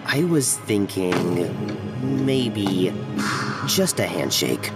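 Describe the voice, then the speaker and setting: A male actor plays a vengeful husband in a horror audio drama. His threats come slowly and deliberately with a very mobile pitch. He sounds set back from the mic, behind loud music. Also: animated, full character voice. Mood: cold, controlled. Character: vengeful betrayed husband, coldly menacing construction worker. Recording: distant, loud music underneath.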